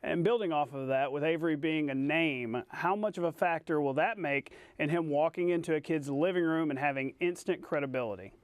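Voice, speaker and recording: Higher-pitched man hosting sports show. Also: fairly quick, hardly any pauses, no room sound. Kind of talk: sports broadcast with casual banter.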